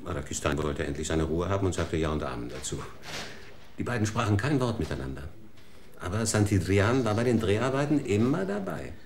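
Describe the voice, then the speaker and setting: An actor playing a gossipy broadcasting official in a radio drama, chatty and confiding. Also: male voice, low voice, expressive, fairly quick, vocal fry, darkish tone, slight background noise.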